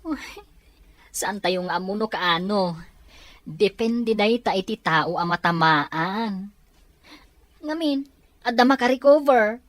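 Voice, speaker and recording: A woman voicing a patient male friend who calmly explains and reassures. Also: mid-range voice, slow, long pauses, no room sound.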